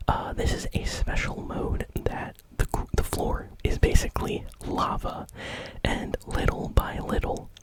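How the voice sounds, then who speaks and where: ASMR news host, fully whispered, breathy and unhurried, casual commentary on games. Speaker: host of gaming news show.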